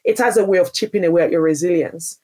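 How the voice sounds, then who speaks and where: A woman's voice: on the low side, quick pace, dark tone. A woman from Nigeria answering interview questions, speaking earnestly and emphatically about her career, often restarting her phrases. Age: adult, parent. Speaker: podcast guest, business founder. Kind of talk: interview answer, personal story.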